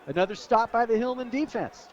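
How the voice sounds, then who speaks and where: A man's voice: very high-pitched, expressive, a little fast, a little mellow, some chatter behind. An American man working as a radio colour commentator, giving conversational analysis of a live football game outdoors at a stadium. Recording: dull lo-fi outdoor radio broadcast.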